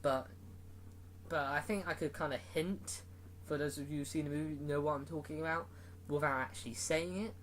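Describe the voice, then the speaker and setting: A man's voice: fairly high pitch, steady tone, moderate pace, fairly bright tone, a little hiss or hum, rough recording. A man giving a casual, rambling movie review, full of fillers and restarts.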